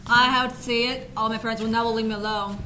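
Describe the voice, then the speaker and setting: High-voiced exasperated cynic played by a woman. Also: little pitch movement, fast talker, pushed and bright. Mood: cynical. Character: exasperated, cynical woman waiting in a ticket line. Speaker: student actor in a school play. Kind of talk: amateur stage comedy acting. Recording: noisy stage recording.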